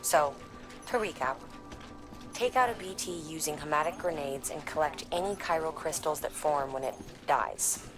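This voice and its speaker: An actress playing an earnest scientist in a video game, explaining a mission in a conversational way. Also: female voice, sits low, moderate pace, over music, lightly acted. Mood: businesslike. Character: earnest scientist woman briefing a courier on experimental weapons.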